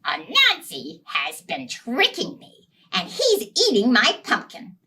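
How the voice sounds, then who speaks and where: A wronged but calm storybook monkey plotting his trick, read by a woman. He speaks in a confiding, deliberate way with animated pitch, a little off the mic. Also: gravelly, distant mic, heavily acted. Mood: indignant.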